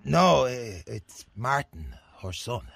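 An actor playing a grieving, hesitant Irish working man in a radio drama, speaking haltingly with an Irish accent. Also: mid-range voice, big pitch swings, gravelly, fairly bright tone, husky, no echo, exaggerated voice. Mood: subdued. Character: Martin, grieving, hesitant working son of a dead mother. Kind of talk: naturalistic radio drama acting.

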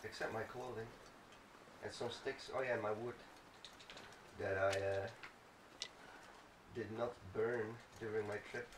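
A man's voice: on the low side, steady tone, measured pace, long pauses. A man giving casual let's play commentary on a game, swearing and muttering as he reacts. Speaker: gaming video host. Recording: roomy, distant mic, clear background noise.

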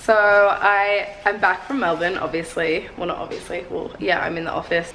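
A woman in her twenties chatting casually to camera as a vlogger, her voice hoarse from a cold, often saying "like" as a filler. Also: fairly low pitch, fairly even intonation, moderate pace. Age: 20s. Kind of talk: casual vlog chat to camera. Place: changing: cabs, hotel hallway, expo rooms. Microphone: camera at arm's length. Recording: noisy handheld recording with loud background.

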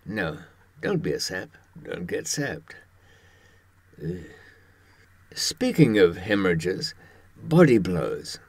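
A man in his seventies speaks in a low, husky voice with lively swings of pitch. He talks in a wry, conversational way, often pausing to find the words. The sound is close and dry. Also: dry recording. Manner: wry, halting, with pauses for thought. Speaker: presenter talking from personal experience. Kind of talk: conversational monologue to camera.

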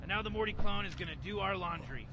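A fast-talking, smug old mad scientist, played by a man, rambling through his schemes with dismissive confidence. His voice sits fairly high and rushes along. Loud traffic and street noise run underneath. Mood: scheming. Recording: noisy outdoor recording with traffic.

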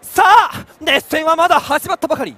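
A man on stage playing an excitable, showy games announcer who shouts drawn-out hype theatrically. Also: very high-pitched, no echo. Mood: hyped. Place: theater stage, live performance.

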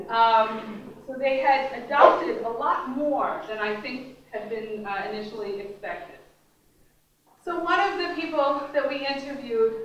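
A woman's voice: fairly high pitch, a bit bright. Woman lecturing in an echoey hall. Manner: conversational, many ums and restarts, gestures while talking. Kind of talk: academic lecture. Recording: very echoey lecture room, distant mic, faint noise.

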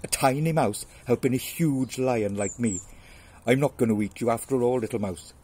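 A man's voice: medium pitch, normal speed, a little mellow, slightly rough, close to an everyday voice. A man voicing a huge, imperious male lion with a teasing manner in a storybook fable. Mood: amused, roaring.

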